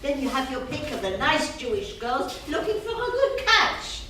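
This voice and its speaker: A woman's voice: high-pitched, very animated, normal speed, bright, forceful tone, exaggerated voice. A woman playing a scornful, meddling mother of a grown son, indignant and emphatic, in a staged drama reading. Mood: bossy, insistent. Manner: indignant, emphatic, Yiddish-peppered. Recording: echoey theatre, distant mic, faint noise.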